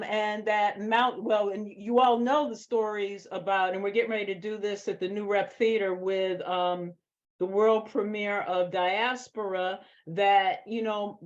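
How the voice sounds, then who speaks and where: An American woman speaks as a screenwriter answering questions, in a slow, deliberate pace. She is emphatic and passionate about what she explains, and the recording is a little dull and lo-fi. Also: mid-range voice. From Boston, United States. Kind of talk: post-reading Q&A talk. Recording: dull lo-fi sound.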